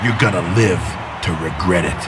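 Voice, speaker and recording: An actor playing a menacing, vengeful wrestling champion who declaims threats in a scripted game promo. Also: male voice, sits low, natural voice. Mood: threatening. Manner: slow-burning, threatening, declamatory. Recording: loud arena crowd and noise.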